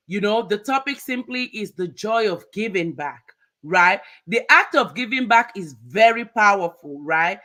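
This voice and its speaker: A woman hosting a live motivational talk show, energetic and upbeat, often ending phrases with "right?". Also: medium pitch. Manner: energetic, repeats words, ends phrases with "right?". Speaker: host.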